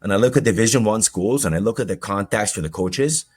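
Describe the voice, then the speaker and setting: Guy in his 40s, really low voice, telling his story kinda haltingly on a podcast. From Colombia. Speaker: podcast guest. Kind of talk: personal storytelling in an interview.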